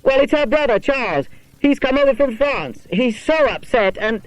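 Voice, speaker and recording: Man acting an excitable high-pitched schoolboy sleuth. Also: normal speed, no echo, rough recording. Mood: eager, urgent. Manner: exclamatory, eager, calling out. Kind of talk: children's audiobook character voice.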